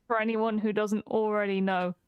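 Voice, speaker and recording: A woman's voice: mid-range voice, level tone, moderate pace, smooth, no room sound, narrow, tinny audio. A woman co-hosting a storytelling stream, casually thanking viewers and chatting. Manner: friendly, reads out viewer names. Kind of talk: casual chat.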